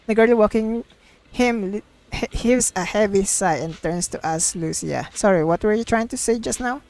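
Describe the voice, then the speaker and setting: A woman's voice: fairly low pitch, darkish tone, low-quality recording. A woman streaming a story game, casually reading the dialogue aloud and reacting with giggly, sweary exclamations. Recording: music playing behind the voice.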